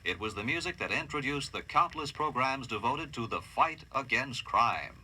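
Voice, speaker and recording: An American man narrating a scripted radio history documentary in a formal, measured, dramatic way. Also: medium pitch, lively intonation, background noise.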